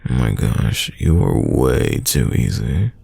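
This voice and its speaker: Man acting a very deep, gravelly teasing crush. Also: animated, slow, no room sound, full character voice. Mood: playful teasing. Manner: playful, teasing, soft close delivery. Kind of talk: romantic roleplay audio, intimate one-sided dialogue.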